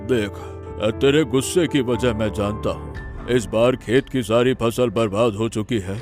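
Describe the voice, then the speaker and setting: A man acts out an elderly village father in a moral cartoon. He is weary and fatalistic, lamenting his lot at a medium male pitch. Music plays softly behind him. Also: a little slow, lightly acted. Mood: grave warning. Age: older. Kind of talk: Hindi moral cartoon story dialogue.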